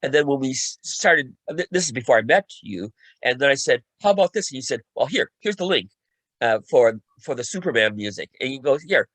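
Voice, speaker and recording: A man's voice: medium pitch, expressive, brisk, a bit bright, no echo. A man talking casually on a panel as a co-writer, often repeating himself and restarting phrases as he recounts a creative process. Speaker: radio play co-writer and panelist.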